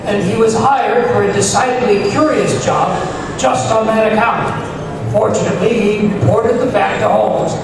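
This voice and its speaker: An actor playing a genteel older gentleman storyteller, chatty and formal, in old-time radio style. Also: high-pitched, normal speed. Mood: teasing. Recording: echoey hall, far off, crowd noise.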